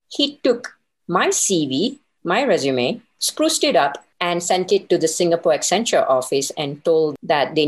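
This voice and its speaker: Female interview guest, middle-aged, low register, conversational storyteller who quotes her own inner thoughts. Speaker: interview guest, consultant and business owner.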